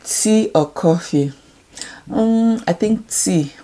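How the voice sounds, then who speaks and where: A woman's voice: mid-range voice, expressive, takes it slowly, warm tone. A young Nigerian woman with a Nigerian accent, chatting casually to camera as she reads out and answers questions in a home room. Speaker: beauty YouTuber.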